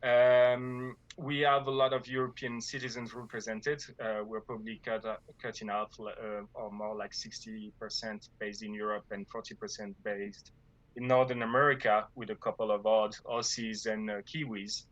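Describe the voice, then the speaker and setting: Man with a French accent, casual interview guest, halting delivery, dry and slightly muffled sound. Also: lowish voice, flat delivery, fairly bright tone, dry recording. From France. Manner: hesitant, many fillers and restarts. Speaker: guild leader.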